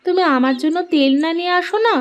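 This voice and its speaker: A woman voicing a nagging but caring village housewife in a cartoon, with an expressive, chiding manner. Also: extremely high pitch, fast talker. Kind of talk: cartoon voice acting.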